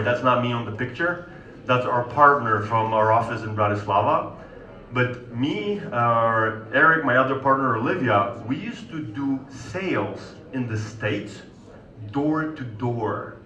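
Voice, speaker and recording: A man with a Polish accent speaks to a live audience in a casual, conversational way and often tags his points with a quick question to the room. His voice is bright and sharp. The recording is roomy and echoey, with the mic set some distance from him. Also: medium pitch. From Poland. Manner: conversational, keeps asking the audience "right?". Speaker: sales consultant giving a talk. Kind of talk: conference talk to an audience.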